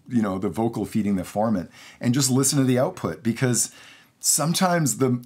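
A man's voice: lowish voice, breathy edge. A man in his forties giving a casual, enthusiastic studio tutorial to camera as a mixing engineer. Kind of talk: casual instructional talk to camera. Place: home recording studio, indoors.

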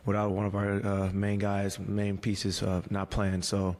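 A man in his thirties answering reporters at a postgame press conference, measured and halting, with many fillers and restarts, speaking into a table mic in a press room. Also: on the low side, monotone, a little mellow, in a large hall. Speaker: pro basketball player at postgame press conference. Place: press room before a team backdrop. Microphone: table mic on a stand.

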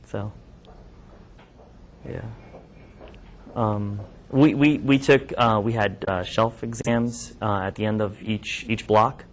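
A man's voice: fairly low pitch, fairly even intonation, slow pace, pauses often, a little hiss or hum. Hesitant young male doctor in a classroom. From New York. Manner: conversational, hesitant, with many ums and restarts. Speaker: physician speaking to medical students. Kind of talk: informal talk with questions from the audience. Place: classroom lab, indoors.

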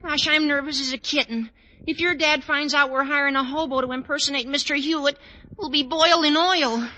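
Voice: high voice, steady tone, fairly quick, bright, forceful tone, poor audio. A performer playing a nervous, anxious teenage schoolboy in a 1940s radio sitcom.

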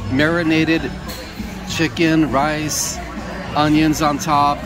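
A man's voice: a little high, level tone, slow pace. Upbeat man reviewing food over restaurant chatter. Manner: upbeat, chatty, simple praise words. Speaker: vlog host. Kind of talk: casual food review vlog. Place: busy restaurant dining room. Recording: restaurant music and crowd noise. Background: background music and diners chatting.

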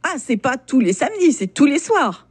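A woman's voice: sits high, sing-song, moderate pace, background noise. A woman radio host conducting an interview with friendly, upbeat questions.

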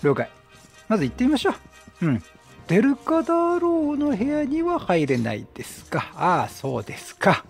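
A middle-aged man giving chatty, excitable Let's Play commentary, reacting and repeating his phrases. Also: high voice, normal speed, vocal fry. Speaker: game streamer. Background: game music.

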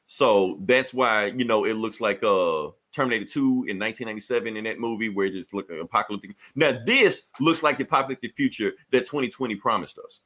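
A man with a bright, forceful voice co-hosts a podcast. He rambles loosely and swears freely, breaking into laughs as he talks. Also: fairly low pitch, fast, hardly any pauses. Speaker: podcast co-host. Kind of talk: casual profane chat.

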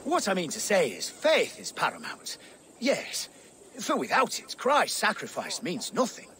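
A middle-aged monk from a video game, pedantic and pious. His voice is bright and forceful, delivered slowly, with preachy indignation and flustered stammers. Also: high voice, wildly swooping pitch, slight background noise, heavily acted. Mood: earnest, flustered. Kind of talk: video game cutscene acting.

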